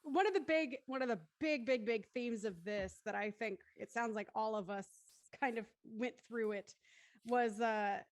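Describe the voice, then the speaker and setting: High-pitched woman hosting a chat. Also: moderate pace, no room sound. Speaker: discussion host. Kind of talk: casual chat.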